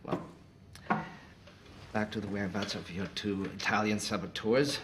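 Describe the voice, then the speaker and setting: A low-voiced German officer, acted by a man for a war film. He is urbane and smug, turning precise, courteous phrases into threats. Slight noise sits behind the dialogue. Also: fairly even intonation, normal speed, natural voice. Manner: polite, theatrical, precise, savouring phrases. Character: urbane, smug, menacing Nazi officer negotiating coolly.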